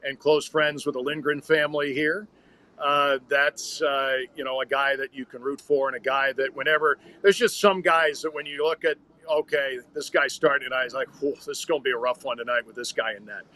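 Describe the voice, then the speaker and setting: A man's voice: medium pitch, steady tone, fairly quick, sharp tone. An American man, a radio hockey announcer, talking and telling stories conversationally as a podcast guest. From Minnesota, United States.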